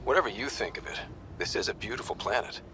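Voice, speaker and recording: An actor playing a young male explorer who asks calm, curious questions. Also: fairly low pitch, quick pace, noisy background, lightly acted. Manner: calm, inquisitive. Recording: game audio with ambience.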